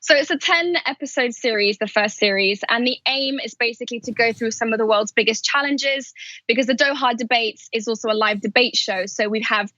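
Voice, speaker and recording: A woman's voice: fairly high pitch, brisk, sharp tone, highs cut off. A woman who grew up in Afghanistan, Pakistan and Saudi Arabia, talking candidly and with animation as a journalist and podcast host being interviewed. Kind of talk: conversational interview. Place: remote call from a house in London.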